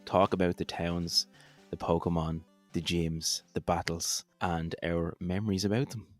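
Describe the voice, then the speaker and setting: A man with a deep, low voice talks casually and keenly as a podcast co-host. Guitar music is clearly audible behind his chat. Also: little pitch movement, a little slow.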